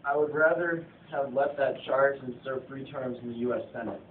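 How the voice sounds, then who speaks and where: A man's voice: mid-range voice, normal speed, a little hiss or hum, natural voice. A man playing a blunt, bossy male American political leader in an amateur puppet show. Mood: blunt, commanding. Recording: tinny phone recording, distant, echoey.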